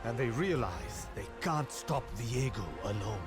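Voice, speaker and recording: An actor playing a cocky young rogue hero who narrates theatrically and playfully for a game cinematic, full of boastful flair. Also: male voice, medium pitch, lively intonation, unhurried, music in the background, natural voice. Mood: dramatic storytelling. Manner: boastful, playful, self-regarding.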